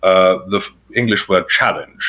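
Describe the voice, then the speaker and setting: Deep-voiced man explaining word origins. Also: normal speed, bright, forceful tone. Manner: explanatory, lots of filler words. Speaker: podcast guest. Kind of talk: casual conversation.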